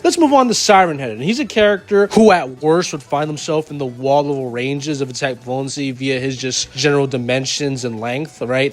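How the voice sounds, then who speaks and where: A man hosting a video commentary in an informal, argumentative fan-debate style, casual and slangy while working from a script. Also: mid-range voice. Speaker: host of a video channel. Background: music.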